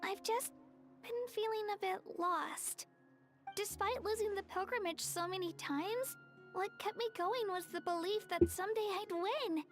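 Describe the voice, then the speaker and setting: A woman's voice: extremely high pitch, a little slow. A woman voicing an earnest, unsure young female warrior in a video game, with sincere, hesitant phrasing. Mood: downhearted, confiding. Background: game music.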